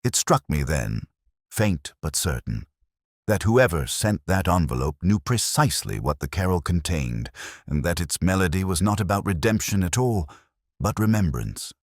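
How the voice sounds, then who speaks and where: A man playing a melancholy, reflective police detective, narrating his case in a measured, literary, brooding manner for a mystery audio drama. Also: low-pitched, sing-song, no echo, studio quality, full character voice. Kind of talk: scripted first-person noir-like mystery narration.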